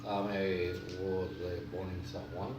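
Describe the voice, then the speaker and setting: A man in his sixties with a Puerto Rican accent tells his life story in an interview, halting, with many fillers and restarts. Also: sits low, flat delivery. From Puerto Rico. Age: 60s. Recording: echoey room, distant mic, noisy.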